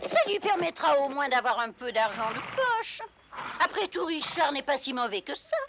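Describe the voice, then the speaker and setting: An actress playing an indignant, gossiping medieval village woman in an animated film dub, exclaiming and complaining. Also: sits high, normal speed, background noise. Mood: gossipy.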